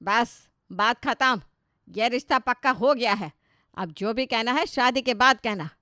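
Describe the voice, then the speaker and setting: A woman's voice: fairly high pitch, unhurried, pushed and bright, no echo, studio quality. Woman playing a bossy, scolding Indian mother. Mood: insistent. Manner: firm, scolding, emphatic. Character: bossy middle-aged Indian mother arranging her son's marriage. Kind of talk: animated storybook dialogue.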